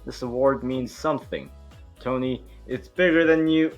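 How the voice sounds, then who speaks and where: A man's voice: mid-range voice, moderate pace, highs cut off, faint music behind, natural voice. A man reading the part of an earnest military officer and exasperated loyal friend in an amateur table read.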